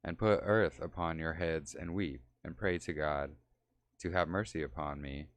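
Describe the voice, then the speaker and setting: A man's voice: extremely low pitch, moderate pace, dry recording. A man reading the lines of an old, dying patriarch in solemn archaic speech.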